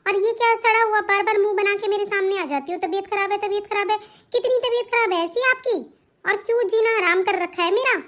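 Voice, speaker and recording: Cartoon role: bossy young daughter-in-law acted by a woman, extremely high voice, scornful, scolding. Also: fairly quick. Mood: scornful, angry. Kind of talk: Hindi family cartoon drama dialogue.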